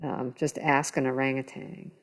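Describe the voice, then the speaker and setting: A woman's voice: extremely low pitch, little pitch movement, normal speed, gravelly. A woman on a podcast panel, reasoning aloud in casual conversation.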